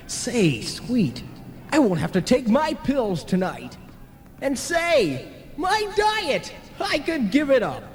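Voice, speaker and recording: Radio drama role: stout, folksy, pompous male ghost played by a man, high and animated, in long reverb. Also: takes it slowly, soft background music, full character voice. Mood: delighted. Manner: hearty, folksy, given to speechifying. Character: jovial, stout, pompous small-town speechmaker, newly dead ghost. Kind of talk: old-time radio play acting. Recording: reverberant, noisy analogue tape of radio play.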